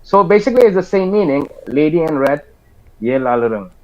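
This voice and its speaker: Surinamese man, halting interview talk. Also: fairly high pitch, big pitch swings, a little mellow, lo-fi sound. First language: Hindi. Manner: halting, repeats words often. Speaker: guest singer. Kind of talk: casual interview chat.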